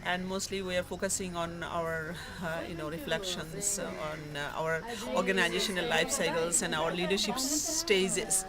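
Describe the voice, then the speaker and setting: A woman's voice: fairly low pitch, moderate pace, hardly any pauses. Hesitant woman in a crowd. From Bangladesh. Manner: hesitant, many "uh" fillers. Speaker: meeting participant. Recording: loud crowd chatter behind.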